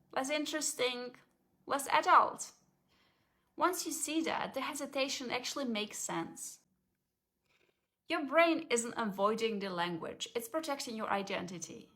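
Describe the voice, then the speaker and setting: A woman with a voice a touch higher than most talks in a calm, measured way. She walks through each point like a coach delivering a scripted explainer. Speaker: language confidence coach.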